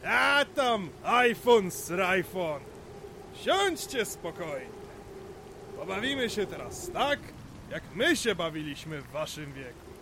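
An actor playing a middle-aged father in an audio drama, hearty, folksy and gruffly mocking, full of exclamations. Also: sits high, sing-song, takes it slowly, noisy background, full character voice. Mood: gruff teasing. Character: hearty, gruffly teasing father at a family party. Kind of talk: Polish audio drama dialogue.

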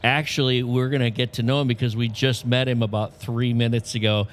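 An upbeat man co-hosts a casual podcast, joking with his guest. His pitch sits in an everyday middle range. He talks over a remote call mic. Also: flat delivery, brisk. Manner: upbeat, teasing. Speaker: podcast co-host.

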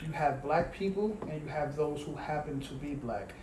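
A man's voice: on the high side, moderate pace. A man co-hosting a casual discussion, asking probing questions and explaining his view at length. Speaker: podcast co-host. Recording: echoey room with audible noise.

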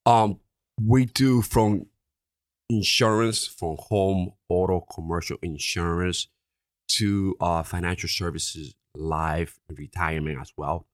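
Earnest Dominican-accented man, deep voice. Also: slow, no echo, studio quality. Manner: earnest, repeats "right?" often. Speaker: insurance agency owner. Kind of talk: podcast interview.

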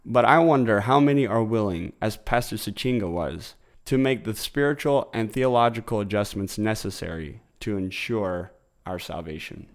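A man's voice: lowish voice, moderate pace, hardly any pauses, no echo, studio quality. A man hosting a religious interview, opening with a preacherly reflection, then asking questions earnestly. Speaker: host and interviewer. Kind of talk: sermon-like intro then interview.

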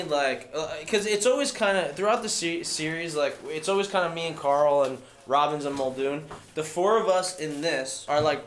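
A man chatting casually and enthusiastically as a cast member in a group interview, in an echoey room. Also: a little high. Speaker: voice actor. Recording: echoey room, faint noise.

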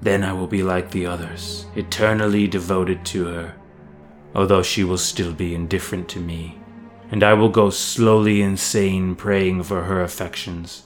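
A man's voice: low-pitched, steady tone, moderate pace, soft background music, close to an everyday voice. A man voicing a captive prince who speaks formally and fatalistically in a fantasy audio story. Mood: resigned despair. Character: despairing young male prince, resigned to enchanted captivity.

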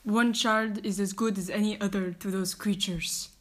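A woman's voice: medium pitch, normal speed, pitched below the performer's own voice, close to an everyday voice. A woman voicing a loving old grandmother who speaks firmly and reassuringly, in a storybook reading. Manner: firm, calm and reassuring.

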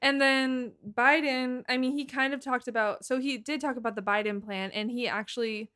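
A woman's voice: high voice, fairly even intonation, normal speed, bright, forceful tone. A young woman from Massachusetts with an American accent, chatting casually and informally as a podcast co-host. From Massachusetts, United States. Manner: conversational, informal, frequent "like". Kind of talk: casual political podcast chat.

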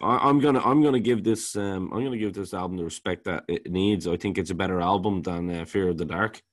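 A man with a baritone voice, talking casually as a podcast co-host and rambling, often repeating words while he searches for them. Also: fast talker. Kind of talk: casual music review chat.

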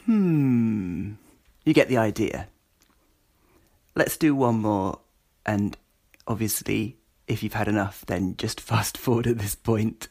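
Guy hosting a podcast, really slow talker, gravelly voice, informal demo. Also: on the low side, a little mellow. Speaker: podcast host. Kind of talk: informal spoken demo of a voice assistant skill.

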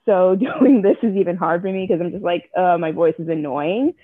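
A woman's voice: fairly low pitch, moderate pace, dry recording. A woman from Jamaica speaks frankly and earnestly as an interview guest. Her voice comes through a narrow, tinny phone-like line. There is no room sound on her voice at all. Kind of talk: candid personal interview.

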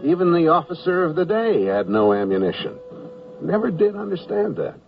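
A man's voice: a little high, very animated, moderate pace, vocal fry. An actor playing a mild, hapless army draftee who tells his own story in an old radio comedy. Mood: wry storytelling. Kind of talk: first-person radio narration and comedy acting. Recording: noisy, muffled, distant vintage radio recording.

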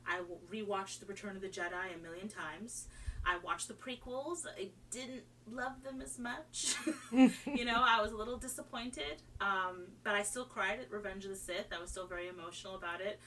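A woman's voice: medium pitch, normal speed, sharp tone. A woman from Trinidad who moved to America as a child, chatting casually as a guest in an enthusiastic, rambling way that often restarts mid-thought. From Trinidad, moved to America at age five. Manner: rambling, enthusiastic, self-interrupting. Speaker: podcast guest. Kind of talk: casual chat about fandom. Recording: distant mic, faint noise and hum.

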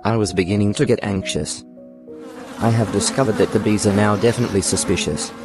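A man playing a naive, earnest toy bear who loves honey, pondering with gentle self-importance in a storybook dramatisation. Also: lowish voice, fairly even intonation, brisk, lightly acted. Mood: anxious, practical. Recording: background music.

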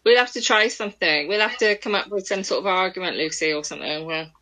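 A woman's voice: on the low side, fast talker, pushed and bright. A woman co-hosting an online show, chatting casually with the others. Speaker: podcast co-host. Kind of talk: casual chat.

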